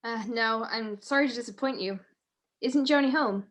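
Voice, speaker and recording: A young woman playing a wry, jealous, sharp-witted detective's wife in a radio drama, with an arch, teasing manner. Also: fairly high pitch, moderate pace, lightly acted. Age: young adult.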